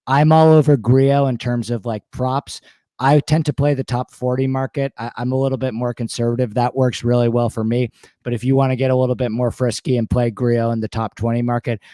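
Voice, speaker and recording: Hoarse man eagerly rattling off stats. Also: medium pitch, warm tone, no echo. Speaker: guest sports betting analyst. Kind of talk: casual interview chat.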